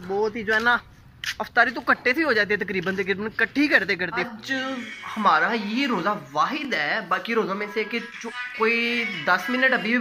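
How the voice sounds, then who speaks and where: A man's voice: high-pitched, background noise. A young man from Pakistan vlogging casually, talking into a phone camera held at arm's length and filling his talk with little tags like "yaar". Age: young adult. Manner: chatty, fills talk with "thik hai" and "yaar". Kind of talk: casual vlog chat. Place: home rooms, garden and rooftop.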